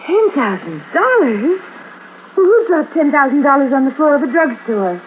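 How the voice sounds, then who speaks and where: A woman's voice: high-pitched, big pitch swings, normal speed. An American woman playing an inquisitive, fretful housewife in a 1950s radio drama, earnest and full of questions. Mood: excited, anxious. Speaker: supporting actress. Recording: tinny, hissy 1950s radio recording.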